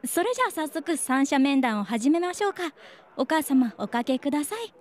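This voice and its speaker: A woman's voice: high-pitched, very animated, normal speed. A woman playing a playful, shameless schoolteacher in a comedic radio drama, joking with a straight face. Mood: brisk. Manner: teasing, straight-faced joking. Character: playful, shameless female homeroom teacher.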